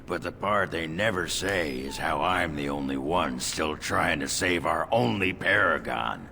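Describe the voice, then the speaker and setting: An actor playing a crude, boastful, drunken dwarf warrior in a fantasy video game, gruff and gravelly. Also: male voice, a bass voice, animated, a little slow, bright, forceful tone, a little hiss or hum, full character voice. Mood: gruff, insistent. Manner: gruff, coarse. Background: game music.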